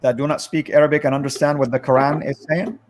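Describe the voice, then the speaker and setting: A man with a slightly high voice acts as host of a religious livestream discussion. He phrases things deliberately, with halting stretches as he steers the talk. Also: flat delivery, noisy background.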